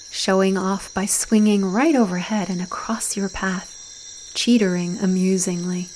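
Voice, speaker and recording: A woman narrating a guided visualization in a soft, soothing, deliberate voice with breathy phrase endings and long pauses. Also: medium pitch, slight background noise, poor audio.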